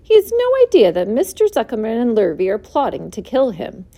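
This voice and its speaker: A wry, knowing farmyard goose in a storybook, as a woman reading aloud puts her on. She muses to herself in a mellow, lively voice, pitched fairly high. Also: brisk, vocal fry, slightly rough, full character voice.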